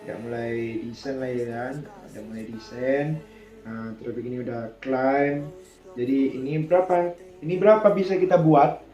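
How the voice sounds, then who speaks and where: A man's voice: a little high, mellow, muffled recording. A man giving an informal, explanatory tutorial, chatting and thinking aloud as he poses questions and answers them. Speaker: air traffic controller presenting a tutorial. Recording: echoey room with background music.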